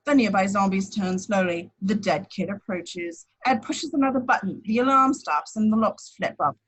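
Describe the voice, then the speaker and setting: A woman's voice: medium pitch, normal speed, fairly bright tone, low-quality recording. A woman reading out scripted action descriptions as narrator at an amateur table read.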